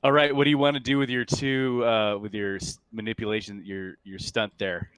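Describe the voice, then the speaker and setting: A man's voice: on the low side, level tone, normal speed, fairly bright tone, clear, resonant tone, dry recording. A man working as game master, giving rulings and narration casually, often hesitating and repeating words.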